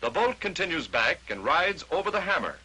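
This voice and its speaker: A man's voice: fairly high pitch, very animated, fairly quick, bright, forceful tone, husky. An American man narrating a 1940s instructional training film, explaining step by step in short declarative statements on a vintage film soundtrack.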